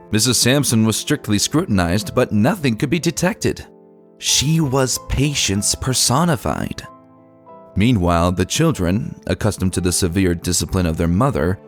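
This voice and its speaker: A man reading a classic short story as a narrator, in a measured, old-fashioned literary delivery. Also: fairly low pitch. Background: background music.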